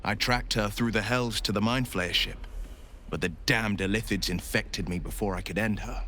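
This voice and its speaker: Gallant young devil-hunter. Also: male voice, fairly low pitch, fairly even intonation, moderate pace, pushed and bright, slight background noise. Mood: determined. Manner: earnest, gallant, courteous. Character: noble young hero, a sworn devil-hunter. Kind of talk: video game dialogue. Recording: faint music behind.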